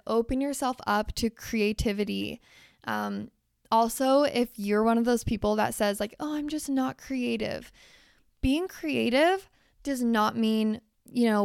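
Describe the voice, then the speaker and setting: Slow, clear-voiced woman podcast host. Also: a little high, a little mellow, no echo, studio quality. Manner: encouraging, list-like delivery with fillers. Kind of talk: self-help podcast talk.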